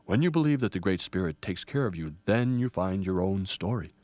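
A man as deep, booming ancient grandfather tree. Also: lively intonation, a little mellow, no echo. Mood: patient teaching. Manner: slow, solemn. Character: wise, ancient male ponderosa pine, grandfatherly and serene.

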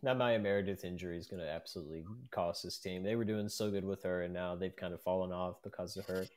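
A man co-hosting a casual sports radio show in a studio, tossing in quick, offhand opinions. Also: low voice, fairly even intonation, a little mellow. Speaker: radio co-host.

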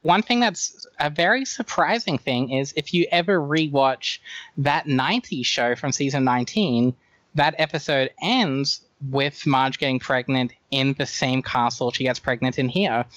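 A man's voice: mid-range voice, unhurried, fairly bright tone, dry recording, highs cut off. A man talking as a podcast guest, casually telling anecdotes and stating opinions.

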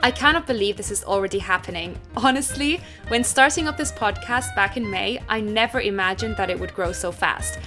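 A woman's voice: mid-range voice, fairly quick, hardly any pauses. A woman hosting a podcast, reading an upbeat welcoming introduction before chatting with her guest. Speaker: podcast host. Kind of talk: scripted introduction then casual interview chat. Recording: music clearly behind her voice.

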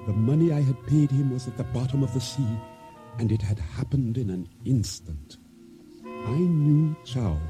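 A very dark, mellow male voice as a solemn boat owner in a radio play. He tells his tale in a measured, wary way, with faint music underneath. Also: mid-range voice. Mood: fearful, solemn. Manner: deliberate, solemn, measured storytelling. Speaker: narrator and lead actor. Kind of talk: radio drama acting, first-person storytelling.

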